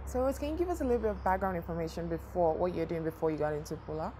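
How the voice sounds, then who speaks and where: Woman host, fast upbeat questions, a bit low, slight noise. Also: dark tone. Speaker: interviewer, program host. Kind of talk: interview questions.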